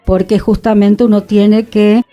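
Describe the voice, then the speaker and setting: A woman's voice: mid-range voice, level tone, unhurried, dark tone, muffled recording, soft background music. A woman, an actress co-hosting a radio talk show, explaining her points thoughtfully. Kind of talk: casual radio discussion. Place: radio studio.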